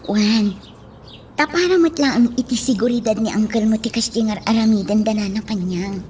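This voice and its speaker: A woman playing a gentle, reassuring sweetheart in an Ilocano radio drama. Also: a little high, moderate pace, slight background noise, lightly acted.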